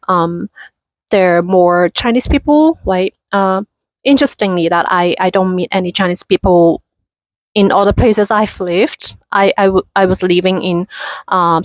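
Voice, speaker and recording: A woman's voice: on the low side, no echo. A woman in her thirties from Hong Kong with a Hong Kong accent, chatting casually in a vlog with many fillers and restarts. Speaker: vlogger.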